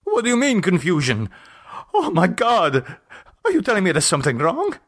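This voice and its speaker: A man's voice: high voice, huge pitch swings, normal speed, a bit bright, pitched above the performer's own voice, full character voice. A man voicing an anxious, frightened male patient in an audiobook, full of pleading, startled questions. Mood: panicked.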